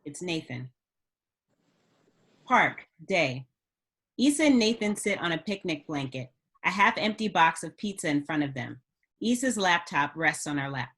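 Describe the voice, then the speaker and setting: A woman's voice: fairly low pitch, very animated, measured pace, pauses often, a bit bright, slightly rough. A woman narrating stage directions at a script table read.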